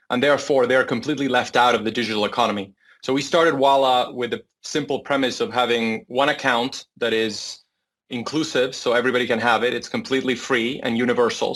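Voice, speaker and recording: A man's voice: mid-range voice, fairly bright tone. Argentine man explaining, mid-range voice. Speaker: startup founder, interview guest. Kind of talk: interview answer, explanatory.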